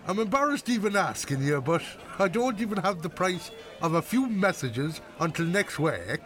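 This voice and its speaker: Wheedling old Irish barfly, swooping voice. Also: male voice, high voice, big pitch swings, normal speed, a bit bright, soft background music. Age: older. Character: wheedling, thankful old barfly cadging drinks. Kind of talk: radio drama acting.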